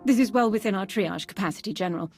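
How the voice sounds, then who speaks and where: An efficient officer from a sci-fi game, voiced by an actress. She talks briskly and fast, with music in the background. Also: medium pitch, a little mellow, close to an everyday voice. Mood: brisk, confident. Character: brisk, efficient female military medical captain. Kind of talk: game cutscene acting.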